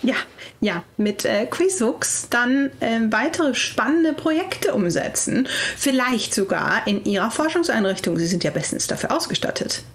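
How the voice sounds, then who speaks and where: Woman playing an evasive, halting female impostor. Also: fairly high pitch, lively intonation, husky. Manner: polite, halting, hesitant. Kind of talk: improvised tabletop role-play.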